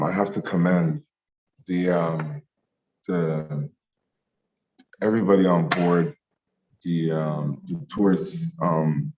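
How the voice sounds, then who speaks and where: A man from Jamaica speaking as a ceramic artist in an online meetup interview, halting with many fillers and restarts. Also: low-pitched, a little mellow, lo-fi sound.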